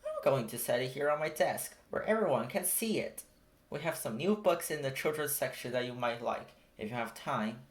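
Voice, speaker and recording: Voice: mid-range voice, expressive, normal speed, echoey room, natural voice. A storybook narrator voicing a welcoming, helpful librarian. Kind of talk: children's storybook character voice.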